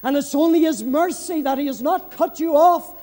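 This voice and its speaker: A man preaching a fervent evangelistic sermon, solemn and emphatic, repeating phrases for effect and swinging between hushed and loud, impassioned delivery. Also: extremely high pitch, normal speed. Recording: long hall reverb.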